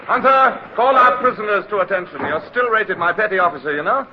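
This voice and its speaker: A man's voice: high voice, a little fast, background noise, lightly acted. An actor playing an earnest, self-blaming young British naval midshipman who can also command, in an old-time radio drama. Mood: firm, commanding. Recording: dull vintage radio recording, music.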